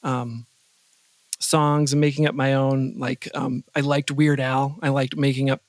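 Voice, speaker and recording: A man's voice: medium pitch, little pitch movement, measured pace, no room sound. An American man talking casually as a podcast guest, rambling and playfully breaking off his own thoughts. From Wisconsin, United States. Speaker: podcast guest, composer and sound designer. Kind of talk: casual interview conversation.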